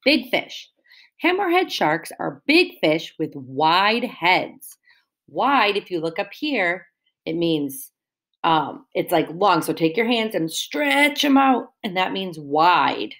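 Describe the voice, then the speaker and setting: A woman's voice: fairly low pitch, big pitch swings, slow, a bit bright. A woman teacher reading a picture book aloud to young children, explaining words and asking them questions in a sing-song way.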